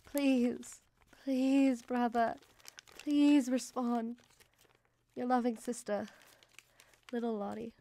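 A woman's voice: on the high side, level tone, slow, long pauses, warm tone. An actress playing a devoted young Victorian mother, reading letters to her brother in an earnest, emotive way for a radio drama. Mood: anxious pleading.

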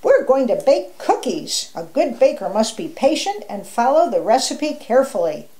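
A woman's voice: high-pitched, sing-song, normal speed, dark tone, voice pushed up. A woman voicing a cheerful male animal baker who gives friendly instruction in a children's story.

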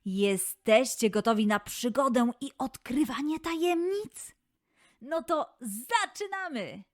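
A woman narrating a scripted fairy tale for children in an expressive, deliberate manner. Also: high voice, over loud music. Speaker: children's storyteller.

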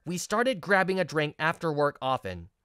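Woman as a low-voiced, earnest young man. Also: moderate pace, clear, resonant tone, dry recording, clean studio sound. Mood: reflective. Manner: earnest, expressive. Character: earnest, stressed young male office worker and mentor. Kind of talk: first-person narration and dialogue in manga video.

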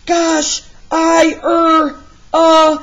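A woman's voice: extremely high pitch, completely flat delivery, extremely slow, a bit bright, pitched above the performer's own voice, exaggerated voice. A woman voicing a plucky, playful male dog artist hero from a storybook, with theatrical delivery. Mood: sheepish, hesitant. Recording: slightly muffled, lo-fi sound.

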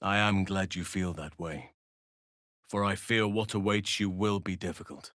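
A solemn elf druid for a fantasy game, low voice, gentle but grave, very dry recording. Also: male voice, steady tone, no echo. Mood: tender. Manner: solemn, measured, formal wording. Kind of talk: dramatic fantasy game voice acting.

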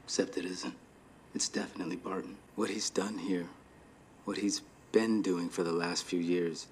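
Man acting sombre military officer, American accent, low voice, reluctant, film drama, faint noise behind. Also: steady tone, normal speed, lightly acted.

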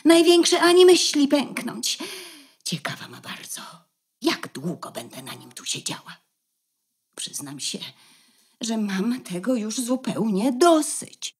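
An actress playing a weary, proud, stubborn young mother duck in a radio play, with a husky voice. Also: fairly high pitch, big pitch swings, slow, heavily acted. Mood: weary muttering.

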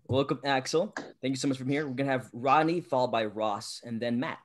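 A man hosting a video call, briskly calling on each speaker in turn. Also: mid-range voice. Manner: brisk, keeps things moving. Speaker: host.

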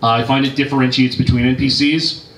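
A man's voice: mid-range voice, level tone, fast, fairly bright tone. A man joking and teasing playfully on a convention panel. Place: large convention room. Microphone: handheld mic, heard from across the room. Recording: echoey room from far off with noise.

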